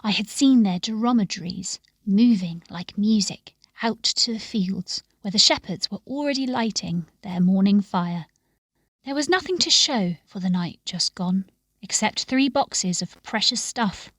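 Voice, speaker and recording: Woman reading a story out loud, really slow and expressive, kinda hushed, dry sound. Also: medium pitch, no room sound. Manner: measured, expressive, hushed phrase endings. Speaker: story reader. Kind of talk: scripted storytelling narration.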